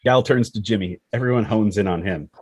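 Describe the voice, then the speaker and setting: A man with a husky voice reading dramatic screenplay stage directions as narrator. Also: fairly low pitch, fairly quick, a little mellow.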